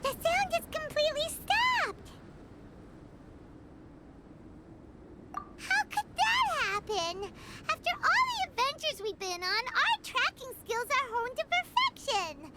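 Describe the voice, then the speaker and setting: A woman voicing a tiny, excitable fairy companion in a game, who talks about herself in the third person. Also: very high-pitched, exaggerated voice. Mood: worried. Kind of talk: game cutscene dialogue. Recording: noisy game audio.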